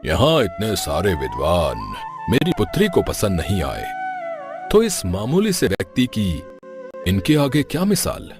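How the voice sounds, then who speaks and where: A man's voice: fairly low pitch, wildly swooping pitch, unhurried. A man playing a proud, formal and imperious king and father in a Hindi cartoon moral story. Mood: scornful. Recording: music behind the voice.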